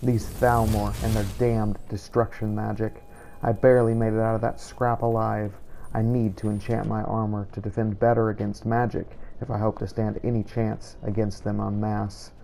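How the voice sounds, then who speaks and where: A man narrating video game play in character, in a formal, measured storytelling manner. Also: on the low side, level tone, creaky, mellow, slight background noise. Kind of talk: scripted first-person game narration. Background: game music.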